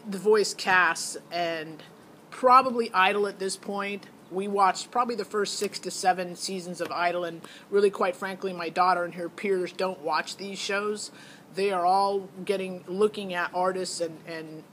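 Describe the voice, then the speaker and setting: A woman's voice: medium pitch, a bit bright, slight background noise. A middle-aged American woman giving a casual, opinionated, unscripted monologue that rambles and digresses, as a parent vlogger.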